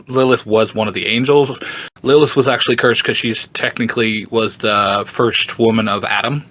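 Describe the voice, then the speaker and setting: A man's voice: mid-range voice, fairly bright tone, no echo. A man chatting casually as a podcast guest, explaining story lore in an informal, enthusiastic way. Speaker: podcast guest, fantasy book fan.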